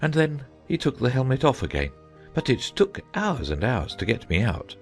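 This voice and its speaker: A man playing a gentle, dotty old knight, earnest and rambling, in a dramatized audiobook. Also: medium pitch, sing-song, normal speed, faint music behind. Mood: solemn, rambling. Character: gentle, dotty old male knight, solemn muddled inventor.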